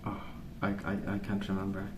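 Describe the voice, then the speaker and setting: Guy doing soft asmr rambling, lowish flat voice, kinda hesitant, roomy distant mic w colouring noises. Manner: hesitant, meandering, unhurried recollection. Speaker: ASMR video host. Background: pencil colouring sounds, background noise.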